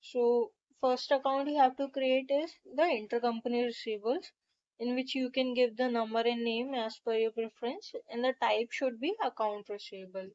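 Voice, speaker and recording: A woman narrating a step-by-step software tutorial in a methodical, instructional way. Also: on the high side, fairly even intonation, moderate pace, dull audio.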